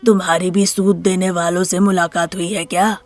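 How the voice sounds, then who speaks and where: A woman voicing a stern older male head of a family in a story, speaking slowly and deliberately, with music behind. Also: lowish voice, level tone, natural voice. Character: gruff older family patriarch, stern and measured.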